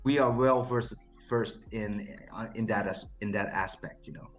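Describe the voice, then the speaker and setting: A man whose first language is not English, speaking as a guest on a stream with slight Texan traces in his accent, often halting, repeating words and laughing. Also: fairly low pitch, steady tone, faint music behind. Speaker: crypto project CEO, guest. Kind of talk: casual interview chat.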